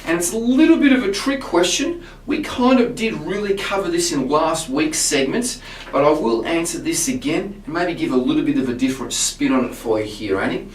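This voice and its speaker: A man, a singing teacher, explaining vocal technique to camera in a relaxed way, often restarting his words. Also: a little high, fairly quick, slight background noise. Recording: roomy sound from a distance.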